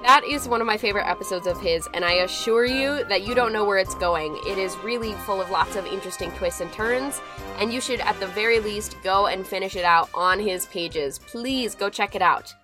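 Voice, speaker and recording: A woman's voice: medium pitch, fairly quick. A woman hosting a podcast, talking casually and in an upbeat, chatty way straight to her listeners. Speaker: podcast host. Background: music.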